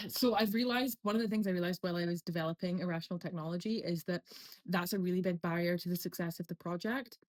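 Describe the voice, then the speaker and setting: Woman, posh Scottish accent, low-ish voice, casual rambling vlog talk. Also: fast. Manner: rambling, chatty, lots of fillers. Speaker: vlogger.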